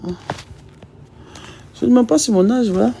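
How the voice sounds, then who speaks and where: A woman's voice: fairly high pitch, normal speed, vocal fry, warm tone, husky. A woman in her thirties from Ivory Coast with an Ivorian French accent, chatting casually on a live stream in an emphatic, teasing way and firing rhetorical questions at her viewers. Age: 30s.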